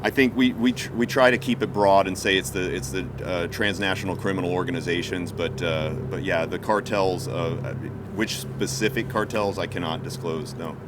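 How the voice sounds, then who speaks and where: Deep-voiced coast guard guy fielding press questions, lots of uhs, heavy street noise. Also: steady tone. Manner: halting, many fillers and restarts. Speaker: Coast Guard cutter captain. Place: outdoor press event. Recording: loud outdoor traffic noise.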